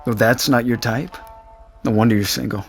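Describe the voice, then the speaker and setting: A man's voice: medium pitch, steady tone, normal speed, gravelly, faint music behind, lightly acted. An actor playing a concerned male friend in naturalistic audio fiction, dry and blunt, with a needling, teasing edge.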